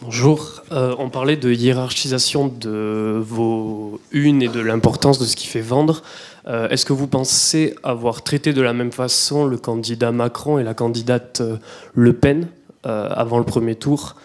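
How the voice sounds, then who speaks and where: Young male student, average pitch, polite and slightly hesitant question. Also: fairly even intonation, measured pace, darkish tone, a little hiss or hum. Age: young adult. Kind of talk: audience question.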